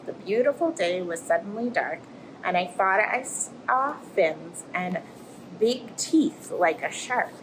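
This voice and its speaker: A friendly, plucky little octopus played by a woman tells a rhyming storybook tale. The delivery is expressive and swings widely in pitch, over clear background noise. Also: slow pace. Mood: storytelling, suspenseful. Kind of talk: rhyming picture-book read-aloud. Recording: clear background noise, aquarium room ambience.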